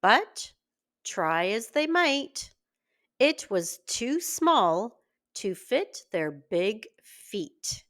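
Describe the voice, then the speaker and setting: A woman reading a scripted story aloud for young children in a deliberate, expressive way. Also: a little high. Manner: slow, deliberate, expressive delivery. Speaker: children's story reader and teacher.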